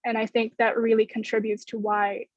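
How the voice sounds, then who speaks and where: A woman in her twenties with an American accent, giving measured, explanatory answers in a panel discussion. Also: fairly high pitch, flat delivery, no room sound. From United States. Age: 20s. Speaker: theater student. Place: bedroom, indoors. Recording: muffled video-call audio.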